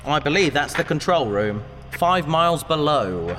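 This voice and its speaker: A man's voice: fairly high pitch, very animated, unhurried, a bit bright. A man playing an eager, polite and pedantic researcher exploring in a sci-fi audio drama. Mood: cautious, polite. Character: eager, bookish male researcher explorer, polite and mild-mannered. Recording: long cave reverb, faint noise and ambience.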